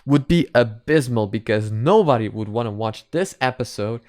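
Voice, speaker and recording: A man's voice: mid-range voice, expressive, normal speed, darkish tone, no room sound, clean studio sound. A man giving casual, blunt and sweary reaction commentary as a video host.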